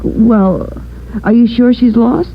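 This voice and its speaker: A woman's voice: a little high, slow, over loud music. An American woman playing a plain, hesitant young elevator operator in an old radio drama. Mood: hesitant, concerned. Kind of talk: 1940s-50s radio drama acting. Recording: muffled vintage radio recording, faint noise.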